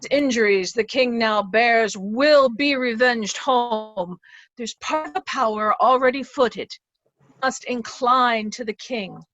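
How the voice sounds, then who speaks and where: A woman playing a secretive, anxious, loyal nobleman in an amateur reader's theatre Shakespeare drama, speaking in a measured, confiding way. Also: on the high side, fairly bright tone, dry recording, dull audio. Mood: grave. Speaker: amateur stage actor.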